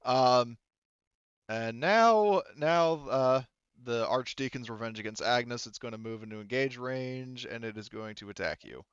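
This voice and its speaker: A man's voice: mid-range voice, measured pace, smooth, no echo, muffled recording. A man acting as game master in an online tabletop roleplaying session, talking casually with some salty language.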